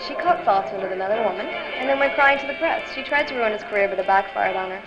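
A woman's voice: medium pitch, animated, quick pace, close to an everyday voice. An American woman playing a polite, prim young aspiring actress who loves gossip, in a period radio drama. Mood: gossipy. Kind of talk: 1940s-style radio drama acting. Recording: dull lo-fi sound, loud music behind.

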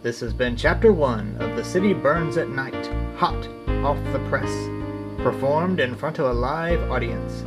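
A man's voice: medium pitch, expressive, unhurried. A man announcing the closing credits of a radio drama in a scripted style. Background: plucked guitar music.

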